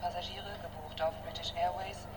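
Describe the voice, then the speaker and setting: An actor playing a formal airport boarding announcer in a radio play. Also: male voice, a little high, little pitch movement, normal speed, noisy background, lightly acted. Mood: impersonal. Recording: distant airport loudspeaker, music behind.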